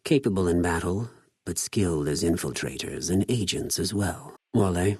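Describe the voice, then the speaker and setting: An actor playing a cold, cunning spy of a Roman-styled slaver army in a video game, speaking in a measured, formal, menacing way with precise diction. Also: male voice, low voice, husky, no room sound, studio quality. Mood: solemn, proud. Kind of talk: scripted video game character dialogue.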